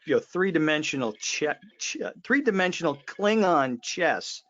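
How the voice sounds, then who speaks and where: A man's voice: fairly high pitch, sing-song, moderate pace, a bit bright. A man hosting a casual live trade chat, informal and fond of repeating his phrases as he reads out viewer comments. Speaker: host of a trade webinar. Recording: dull lo-fi online call audio.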